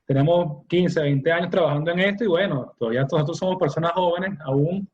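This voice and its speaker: A man hosting a conversational interview, speaking haltingly and repeating words as he goes. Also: fairly high pitch, a little mellow, muffled recording. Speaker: interviewer, host.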